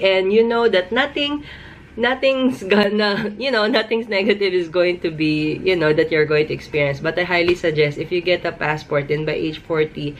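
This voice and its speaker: Low-pitched Filipino woman vlogging over noise. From Philippines. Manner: emphatic, repeats words for emphasis. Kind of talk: casual advice vlog. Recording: noticeable background noise.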